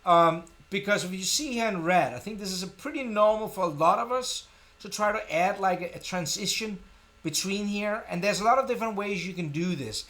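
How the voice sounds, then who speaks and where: Higher-voiced Danish man teaching live. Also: a little fast, fairly bright tone. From Denmark. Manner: informal, self-deprecating. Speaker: tutorial live stream host. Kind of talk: casual live software tutorial, thinking aloud.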